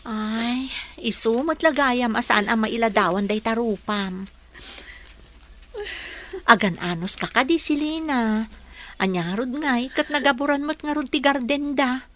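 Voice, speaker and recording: A woman's voice: on the high side, a little slow, fairly bright tone. A woman playing a sympathetic, comforting village friend in a radio drama.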